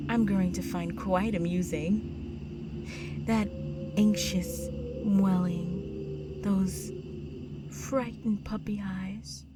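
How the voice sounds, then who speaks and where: A woman voicing a seductive, possessive vampire countess in an intimate roleplay audio drama, slow and coaxing, often slipping into breathy whispers. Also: fairly low pitch. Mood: amused, menacing. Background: music.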